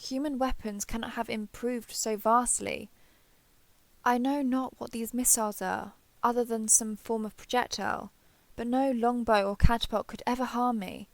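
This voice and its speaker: A woman's voice: a little high, gravelly, dry recording. A woman voicing a proud, ancient female dragon in a fantasy roleplay audio, with formal, haughty, archaic phrasing. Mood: defiant, proud.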